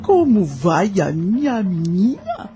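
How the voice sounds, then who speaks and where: A woman's voice: mid-range voice, wildly swooping pitch, slow pace, dark tone, soft background music. A woman playing a wise, motherly older enslaved nanny in an audio drama, with old-fashioned rustic, consoling speech. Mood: tender, cheerful.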